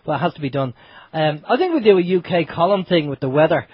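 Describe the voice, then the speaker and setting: A man's voice: on the high side, animated, fast, breathy edge, no room sound. An Irish man with a cold, sounding blocked up and nasal, hosting a casual internet radio chat. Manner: chatty, loose, with restarts. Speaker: radio show host. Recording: dull lo-fi home radio stream.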